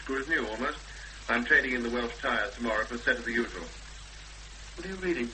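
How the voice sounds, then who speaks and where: A man's voice: medium pitch, fast talker, bright, forceful tone, poor audio. A man playing a practical, sceptical young fiancé in a 1970s radio drama, matter-of-fact and clipped in conversation. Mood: casual. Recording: faintly hissy 1970s radio broadcast.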